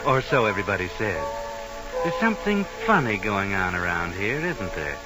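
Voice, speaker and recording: An ominous storyteller of crime in a vintage radio mystery. His low voice rises and dips as he taunts the guilty. Music plays clearly behind him on the old recording. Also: big pitch swings, moderate pace, rough recording. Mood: mocking, ominous. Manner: taunting, addresses the villain directly. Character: mocking, ominous unseen male narrator of crime tales.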